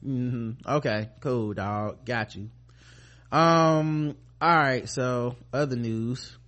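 An American man with a medium-pitched voice talks through the news as a podcast co-host. His delivery is casual and conversational, full of slang and profanity. He comes across as sardonic, commenting bluntly as he goes. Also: extremely slow, a bit bright.

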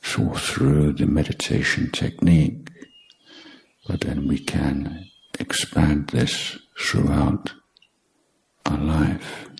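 A man guiding a meditation as a teacher, speaking in short, deliberate, measured phrases with long pauses. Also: a bass voice, flat delivery, gravelly, rough recording. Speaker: meditation teacher, Buddhist monk. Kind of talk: guided meditation instruction.

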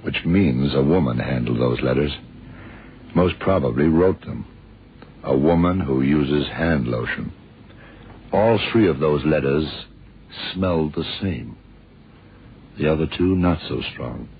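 A world-weary detective with a rumbling, gravelly bass voice. He muses deliberately in terse slang, shrewd and unhurried. It sounds like a tinny, muffled radio thriller from decades ago. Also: expressive, exaggerated voice. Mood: probing. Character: shrewd, world-weary male American detective thinking aloud. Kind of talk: 1960s-70s radio drama acting.

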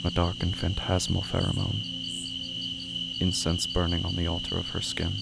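A man reading scripted horror drama narration in a measured, literary, solemn way. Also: deep voice, completely flat delivery, gravelly, over loud music. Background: night crickets and insects.

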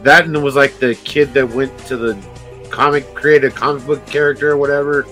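A man co-hosting a casual podcast, trading jokes and offhand curses with his friend. His voice sits at an ordinary pitch and his delivery is loose and relaxed. Soft music plays faintly underneath. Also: a little fast. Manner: laid-back, joking, swears casually. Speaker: podcast co-host.

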